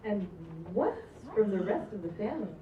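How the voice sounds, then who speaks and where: A woman's voice: mid-range voice, sing-song, moderate pace. A woman reading script stage directions aloud at a live table read. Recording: roomy, distant, muffled, very noisy live recording.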